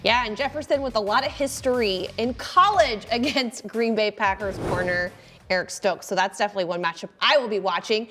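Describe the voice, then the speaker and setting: A woman's voice: mid-range voice, a little fast, pushed and bright, faint music behind. An American woman hosting a casual sports discussion show. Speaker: show host.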